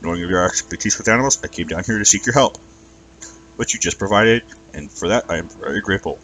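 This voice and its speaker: Storybook dragon, played by a man: big, courteous British gent, flowery and pompous, low-pitched. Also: fast talker, fairly bright tone, low-quality recording. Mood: genteel, grateful. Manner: pompous, over-polite, flowery. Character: large, polite, genteel male dragon, grateful and flowery.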